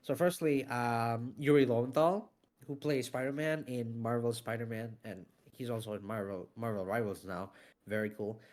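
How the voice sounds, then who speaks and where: A man being interviewed about his voice acting and scriptwriting, talking in a casual, animated way with lots of fillers and some swearing. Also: medium pitch, moderate pace. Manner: animated, swears freely, many fillers and restarts. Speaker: interview guest, voice actor and scriptwriter.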